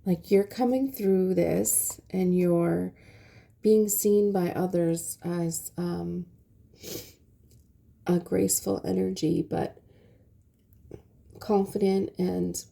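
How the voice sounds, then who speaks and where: A woman with a stuffy nose reads tarot cards in a slow, unhurried way. Her voice is heavily gravelly and dark. She hesitates often and fills pauses softly. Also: fairly low pitch, steady tone. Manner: soft, hesitant, many ums. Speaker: tarot reader. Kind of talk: casual tarot reading.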